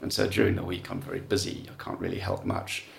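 Middle-aged guy rambling w lots of ums, super gravelly, low pitched. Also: big pitch swings, fast, slight background noise. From South Africa. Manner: rambling, self-deprecating, many fillers. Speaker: guest. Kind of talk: casual chat.

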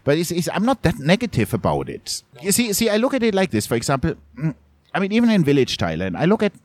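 A middle-aged man from Germany, a journalist being interviewed, talking in a halting way with many restarts and repeated words. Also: a little high, huge pitch swings, darkish tone, no room sound. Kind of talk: candid conversational interview.

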